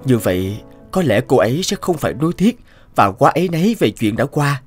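An actor voicing a young, modest man who talks in an earnest, soft-spoken way in a cartoon. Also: medium pitch, big pitch swings, brisk, lightly acted. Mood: calm. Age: young adult. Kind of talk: cartoon voice acting. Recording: music under the voice. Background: background music.

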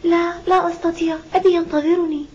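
A young village girl in a cartoon, devoted and earnest, with a high voice and quick delivery. She sounds gentle and reassuring. The soundtrack is a little dull. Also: level tone. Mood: dutiful, caring. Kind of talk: Arabic cartoon dub acting.

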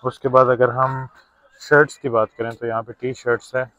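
A man's voice: medium pitch, level tone, moderate pace, sharp tone, dull audio. A young man, chatting casually to viewers as he films himself shopping in a big indoor discount store on a handheld phone. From Pakistan. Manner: chatty, mixes in English words, talks to viewers as brothers. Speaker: vlogger. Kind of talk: casual shopping vlog narration. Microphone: phone held by the filming hand.

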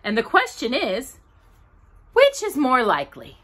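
A woman voicing a gentle, bumbling male bear in a storybook reading, slow and wondering. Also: high-pitched, wildly swooping pitch, husky, voice pushed up, heavily acted. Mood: earnest.

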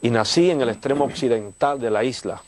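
An older man from Cuba, a church leader, speaking warmly and gratefully in a television studio interview. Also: medium pitch, brisk, no room sound. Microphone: lapel.

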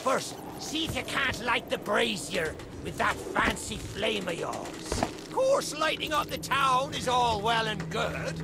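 A gravelly, raspy old undead pirate, a talking skull who drawls out his words slow and scheming. His voice sits high for a man. Loud game music plays behind him. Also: heavily acted. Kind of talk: video game character dialogue.

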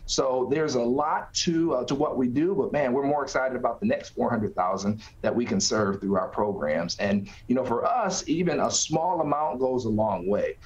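A man's voice: medium pitch, normal speed, hardly any pauses. A middle-aged man, a nonprofit director, answering interview questions conversationally over a video call from an office. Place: small office, indoors. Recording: slightly dull video-call audio.

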